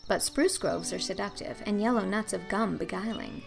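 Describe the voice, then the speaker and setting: Female audiobook narrator: theatrical literary storytelling with some fry in the tone, over clearly audible music. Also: medium pitch, normal speed. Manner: theatrical, emphatic. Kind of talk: expressive scripted storybook narration.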